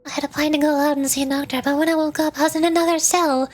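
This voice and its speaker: Very high-pitched girlish woman streamer. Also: steady tone, rapid-fire. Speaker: VTuber streamer. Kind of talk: game playthrough commentary and reading aloud.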